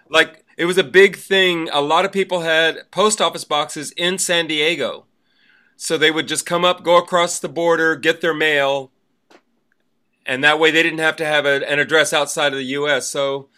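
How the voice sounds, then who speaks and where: A man's voice: a little high, moderate pace, pushed and bright. A man chatting casually as a podcast co-host. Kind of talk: casual chat.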